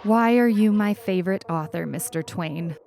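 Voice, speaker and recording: A woman's voice: fairly low pitch, normal speed, creaky, a little mellow, a little hiss or hum. A woman voicing an earnest, tender-hearted schoolgirl in a story, anxious and pleading. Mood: desperate. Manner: pleading, whispery at times.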